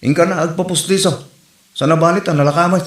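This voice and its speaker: A man's voice: on the high side, fairly even intonation, quick pace, natural voice. A man with an Ilocano Filipino accent playing a nagging, scheming village husband in a comedy skit. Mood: mocking, insistent. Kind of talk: Ilocano comedy drama acting. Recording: roomy echo, music behind.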